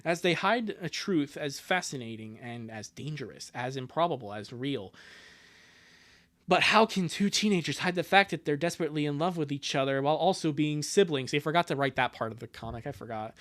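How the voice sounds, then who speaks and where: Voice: medium pitch, expressive, a little fast, a bit bright. A livestreamer reading a fan fiction comic aloud live, with sarcastic, exasperated, often crude joking commentary. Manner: sarcastic, exasperated, breaks into outbursts.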